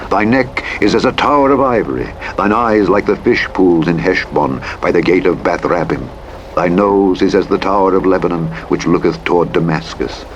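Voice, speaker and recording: A man narrating the Bible in a measured, solemn scripted reading. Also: sits low, lo-fi sound. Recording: clear vehicle and road noise throughout. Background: truck engine and road sounds from a driving game.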